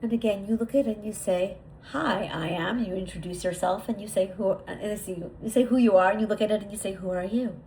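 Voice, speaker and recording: A woman leading a guided visualization, speaking to the listener in a deliberate, repetitive way with lingering pauses. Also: mid-range voice, a little mellow, a little hiss or hum, low-quality recording. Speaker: guided meditation leader.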